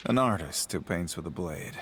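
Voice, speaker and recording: An actor playing a calm, brooding swordsman in a game, restrained and thoughtful in delivery. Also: sits low, moderate pace, a little hiss or hum. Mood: calm, sympathetic. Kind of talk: cinematic game acting.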